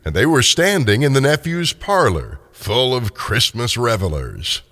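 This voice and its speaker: An older man narrating a story dramatically, with theatrical, deliberate phrasing. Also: mid-range voice, sing-song, a bit bright. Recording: long reverberant tail on voice.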